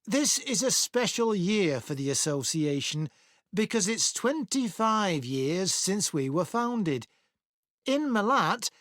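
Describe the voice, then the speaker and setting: A man acting a cordial association chairman, giving a clear, measured scripted speech for a listening test. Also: high-pitched, fairly bright tone, dry recording, clean studio sound, exaggerated voice. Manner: clear, measured public address. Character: cordial male chairman of a town association addressing members.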